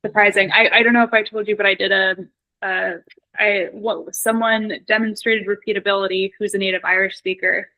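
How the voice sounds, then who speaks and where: A woman's voice: medium pitch, little pitch movement, brisk, dull audio. A woman hosting a casual podcast chat, talking eagerly with lots of "like"s and restarts. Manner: eager, chatty, many likes and restarts. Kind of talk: casual conversation.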